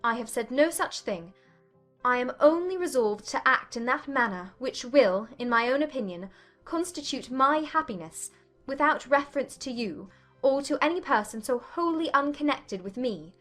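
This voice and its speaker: A woman playing a spirited, self-possessed young English gentlewoman in a dramatized audiobook, speaking firmly and formally. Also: high-pitched, animated, moderate pace, soft background music, voice pushed up. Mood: firm defiance. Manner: firm, precise, formal.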